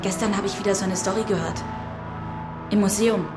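A woman's voice: medium pitch, steady tone, brisk, over loud music, natural voice. An actress playing an eager, chatty young woman student. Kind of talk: radio drama acting.

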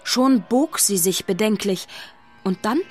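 A woman's voice: medium pitch, measured pace. A woman narrator reading scripted narration for a children's radio play. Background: music.

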